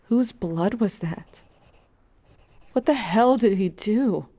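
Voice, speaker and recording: A woman playing a brooding, wry young narrator who confides softly and casually in an audio drama monologue. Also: mid-range voice, takes it slowly, no echo. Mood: uneasy, pensive. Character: brooding young narrator, wry, wounded, questioning gender, addressing lost sibling.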